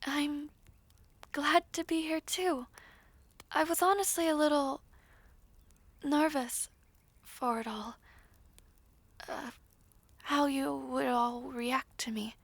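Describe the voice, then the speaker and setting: Actress as timid fantasy fiancée: very high voice, hesitant, soft, formal, anxious and grateful, close dry sound. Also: female voice, fairly even intonation, slow, vocal fry, pauses often, dry recording, full character voice. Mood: nervous, grateful. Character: shy, anxious, grateful young fiancée, formerly a maid. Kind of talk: fantasy audio roleplay acting.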